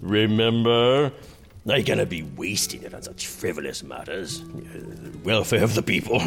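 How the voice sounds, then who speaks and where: A man's voice: fairly low pitch, lively intonation, normal speed, over music. An actor playing a pompous, drunken, self-indulgent prince acting as king in a theatrical, haughty medieval audio drama. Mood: dismissive, grand.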